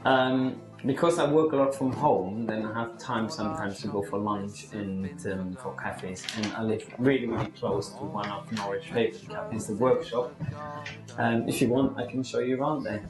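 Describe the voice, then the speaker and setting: A man's voice: fairly low pitch, normal speed, echoey room, over loud music, rough recording. A man talking enthusiastically about his local group in a casual interview, often restarting phrases. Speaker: local couch surfing group organizer.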